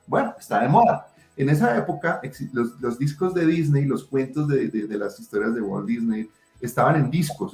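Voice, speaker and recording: Middle-aged man, eager and rambling, medium pitch, echoey room, faint music behind. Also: darkish tone. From Colombia. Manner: passionate, rambling with many restarts. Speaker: writer and guest. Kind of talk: interview answers. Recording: roomy echo.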